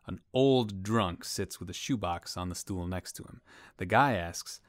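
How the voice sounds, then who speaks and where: An actor playing a wry, crude bartender who talks in a folksy, colloquial way and tells jokes in an audio drama. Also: male voice, sits low, measured pace, no echo, studio quality. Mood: sly storytelling.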